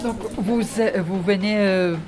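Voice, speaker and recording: A woman reporter asks questions on location for a local radio piece. She pauses and gropes for her words as she goes. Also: mid-range voice, fairly even intonation, crowd noise. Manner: hesitant, searching for words. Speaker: radio interviewer. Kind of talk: on-site radio interview.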